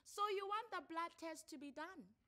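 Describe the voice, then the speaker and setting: A woman voicing a measured, formal female court counselor who questions deliberately in a dramatised story reading. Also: very high voice, expressive, pushed and bright, pitched above the performer's own voice, exaggerated voice. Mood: probing, skeptical. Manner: deliberate, questioning, drawn-out phrasing.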